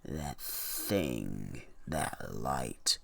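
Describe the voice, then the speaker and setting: A man's voice: a bass voice, lively intonation, drawn-out words, gravelly, husky, dry recording, pitched below the performer's own voice, heavily acted. A man voicing a weary, grim antique shopkeeper in a horror story, with a folksy, ominous way of talking.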